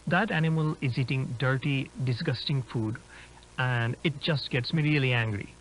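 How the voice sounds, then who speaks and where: A man's voice: medium pitch, normal speed, lightly acted, poor audio. A man playing an inquisitive explorer, explaining things conversationally as he films a found-footage drama. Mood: disgusted, indignant. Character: inquisitive male urban-explorer filming a dinosaur park. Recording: humming background noise.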